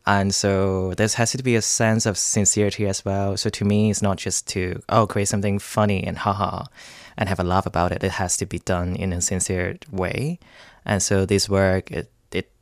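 A low-pitched man with a Hong Kong accent talks as an interview guest. His delivery is conversational and explanatory, like an artist discussing work on radio. The studio sound is dry, with very little room to it. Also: little pitch movement, moderate pace, dry recording.

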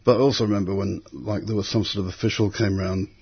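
Man in his 60s, low husky voice, halting and reflective; dull lo-fi sound. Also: fairly even intonation. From England. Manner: hesitant, many restarts and fillers. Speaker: interviewee. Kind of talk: reflective conversational storytelling. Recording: dull lo-fi recording.

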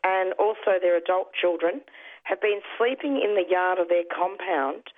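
A woman radio host with a slightly lost, worn voice, pitched fairly low. She talks earnestly, often restarting her phrases. The sound is thin and phone-like. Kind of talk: community radio interview. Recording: tinny phone-like radio audio.

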